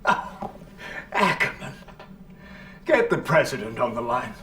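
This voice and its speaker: Animated British military commander. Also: male voice, sits high, measured pace, creaky, distant mic, full character voice. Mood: alarmed, exasperated. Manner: animated, exclamatory. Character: British field marshal, excitable, exasperated military commander. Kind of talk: acted video game cutscene dialogue. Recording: roomy, off-mic, echoing.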